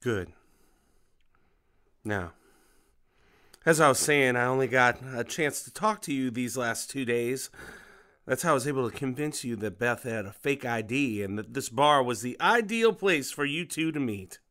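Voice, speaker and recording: An American man acting in an audio drama as a middle-aged, menacing, vengeful father, speaking with deliberate, controlled menace. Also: medium pitch, animated, sharp tone. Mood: cold, commanding, then mocking.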